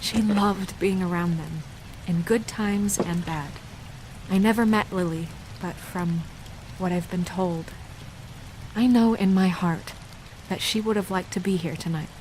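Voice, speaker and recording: A woman playing a composed, earnest eulogist in a game, giving a solemn, formal speech in a measured, halting way. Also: on the low side, darkish tone, close to an everyday voice, rough recording. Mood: solemn, tender. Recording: faint rain and background noise.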